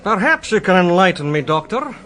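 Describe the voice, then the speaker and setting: An actor playing a composed, middle-aged surgeon with a dry, faintly sardonic manner. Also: male voice, high-pitched, very animated, fairly quick, a bit bright. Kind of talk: radio drama acting.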